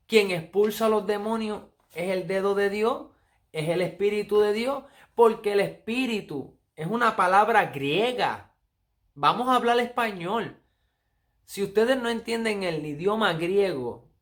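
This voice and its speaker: A man with a Puerto Rican accent giving an earnest Bible study sermon and reading verses aloud. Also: high-pitched. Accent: Puerto Rican Spanish. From Puerto Rico. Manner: earnest, teaching, reads verses aloud slowly. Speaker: preacher.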